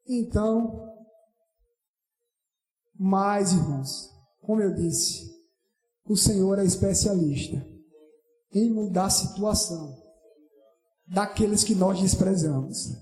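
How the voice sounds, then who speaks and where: A Brazilian man preaches with fervor in a strikingly high voice. He is emphatic and likes to repeat his phrases. The sound rings through a very echoey church hall with long reverb. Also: mellow. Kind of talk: impassioned Pentecostal evangelistic sermon. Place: church hall during evening service.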